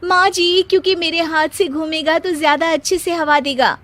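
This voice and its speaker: A very high, insistent voice for a young Indian housewife in a comic family storybook tale, acted out by a woman narrator. She is proud of herself and boasts freely. She scolds and bosses the household with stubborn confidence. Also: steady tone, normal speed, fairly bright tone. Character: proud, bossy young daughter-in-law who boasts about her hands. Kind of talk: Hindi family comedy story acting.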